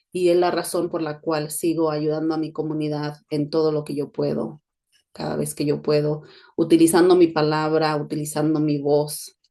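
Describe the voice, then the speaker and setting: A woman's voice: low voice, dark tone. A middle-aged woman from Mexico, speaking with a neutral Spanish accent as a podcast host in an earnest, reflective monologue. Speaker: podcast host, education consultant.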